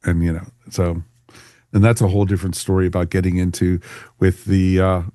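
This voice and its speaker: A man's voice: low voice, level tone, brisk, mellow, no room sound, clean studio sound. A man co-hosting a podcast, chatting casually and enthusiastically in an informal fan review. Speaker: podcast co-host.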